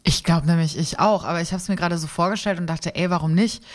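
Playful woman cohost, kinda low voice, super enthusiastic. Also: quick pace. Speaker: podcast co-host. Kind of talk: casual chat.

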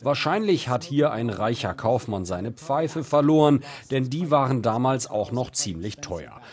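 A man with a medium-pitched voice delivers scripted voice-over. He reads translated interview quotes for a documentary. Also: animated, a little slow, darkish tone.

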